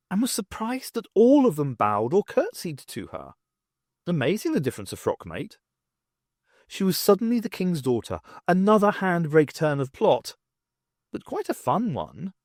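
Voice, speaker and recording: A man reading an audiobook aloud with an expressive, wry storytelling manner. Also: on the high side, no room sound, studio quality. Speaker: audiobook reader. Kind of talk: audiobook narration.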